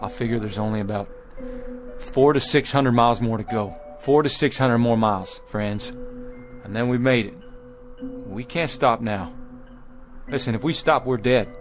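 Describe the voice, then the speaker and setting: A man's voice: medium pitch, normal speed, lightly acted. A desperate pioneer leader played by a man begs his weary settlers to keep going, his words urgent and often stammering. Faint music runs behind him. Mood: persuasive.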